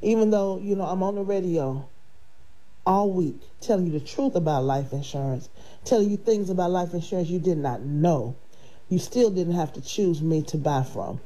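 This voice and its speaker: A woman's voice: fairly low pitch, normal speed, low-quality recording. A woman hosting a radio show and selling life insurance. She talks in a chatty, grateful way with a nasal sound from her sinuses. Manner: warm, grateful, chatty, with laughs. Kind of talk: casual radio talk.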